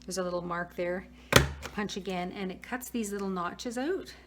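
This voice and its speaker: A slightly hoarse woman casually demonstrating a paper-craft tool while she works at her table. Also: medium pitch, moderate pace, heavy background noise. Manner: chatty, talks while working, frequent restarts. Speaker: craft tutorial host. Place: craft room at a work table.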